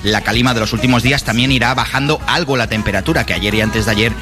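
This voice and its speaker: A man's voice: mid-range voice, fast. A man giving a casual, chatty radio weather report with playful wording. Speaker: weather presenter. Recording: radio broadcast with music behind.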